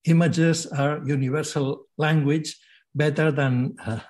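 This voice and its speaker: Older catalan guy lecturing over a webcam, real slow, lots of uhs and restarts. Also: on the high side, fairly even intonation, darkish tone. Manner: slow, hesitant, many fillers and restarts. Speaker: guest lecturer. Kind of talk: lecture by video call. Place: home study with bookshelves. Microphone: laptop webcam on video call.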